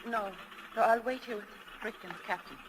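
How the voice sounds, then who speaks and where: A woman's voice: fairly high pitch, a little fast, natural voice. An anguished, tender wife played by a woman in a 1940s radio melodrama, heard through faint old recording noise. Her voice is emotional and pleading, as if torn between two loves. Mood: anxious, bewildered.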